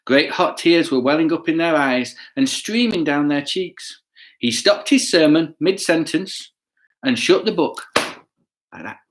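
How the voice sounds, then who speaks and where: A man reading a children's story aloud with chatty asides, playful and expressive, often putting questions to his listeners. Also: a little high, unhurried, rough recording. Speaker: children's bedtime story reader.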